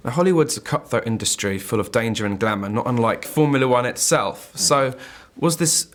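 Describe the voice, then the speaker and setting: A male interviewer with a medium-pitched voice, putting polished, prepared questions to his guests. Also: lively intonation, a little fast, fairly bright tone.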